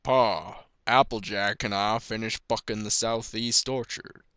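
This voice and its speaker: A man's voice: fairly low pitch, steady tone, measured pace, bright, forceful tone, smooth, dry recording. Man playing a boastful young farm colt. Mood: matter-of-fact. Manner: folksy, bickering.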